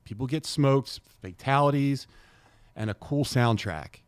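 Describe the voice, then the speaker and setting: A man's voice: mid-range voice, measured pace, no room sound. A man co-hosting a podcast, giving his opinions in a casual, blunt, plain-spoken way. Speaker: podcast co-host.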